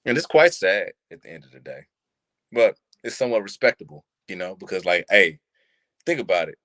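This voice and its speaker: A man around thirty talking casually on a live-streamed show, animated, using street slang and checking in with listeners often. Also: low voice, brisk, no echo. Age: 30s. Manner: animated, street slang, repeats "you see what I'm saying". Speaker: podcast co-host. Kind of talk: casual chat. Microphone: live stream mic.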